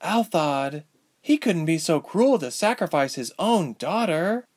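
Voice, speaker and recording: A man voicing a frightened young heroine, high and pleading. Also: very animated, a little slow. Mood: urgent. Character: frightened defiant young woman with magic.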